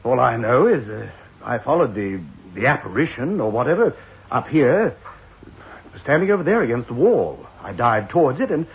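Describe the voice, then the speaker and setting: An actor playing an excitable, plucky young husband in an old-fashioned radio ghost drama, blustering and exclaiming. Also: male voice, medium pitch, moderate pace, gravelly, breathy edge, full character voice. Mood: shaken. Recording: muffled, tinny radio recording.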